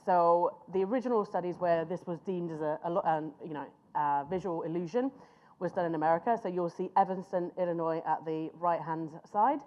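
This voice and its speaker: A woman presents a master class to a live room, speaking conversationally and sometimes stopping to hunt for an English word. Her voice sits slightly low for a woman. The recording is muffled and lo-fi. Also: a little mellow. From Finland. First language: Finnish. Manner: conversational, pauses to search for words. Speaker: conference speaker.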